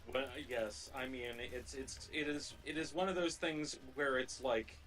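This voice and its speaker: A man co-hosting a gaming livestream, chatting casually in a rambling, halting way that often circles back and repeats phrases. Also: mid-range voice, a bit bright, faint music behind. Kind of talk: casual chat during gameplay.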